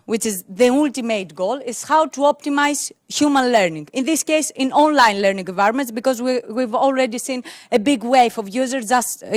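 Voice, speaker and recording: A young woman whose first language is Greek presents at a tech conference, holding a mic and searching for words with frequent fillers and restarts. Her voice sits a little high. The sound is dry and close, with little room in it. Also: dry recording. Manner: hesitant, many fillers and restarts. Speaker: conference speaker. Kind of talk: technical conference talk. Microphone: handheld mic.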